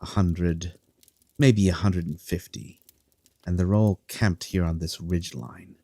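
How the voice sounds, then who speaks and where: A man acts out an arrogant fantasy king with a deep voice and a measured pace. He is imperious and dismissive, issuing orders with open contempt in the manner of an audio drama. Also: steady tone, mellow, exaggerated voice. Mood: brisk, dismissive. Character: haughty, dismissive king giving orders to subjects.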